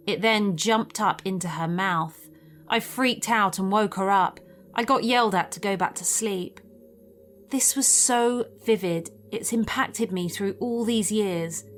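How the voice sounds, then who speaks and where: A woman's voice: mid-range voice, unhurried, a bit bright, a little hiss or hum. A woman reading a scripted first-person story as a narrator of scary tales. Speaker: narrator of listener-submitted scary stories.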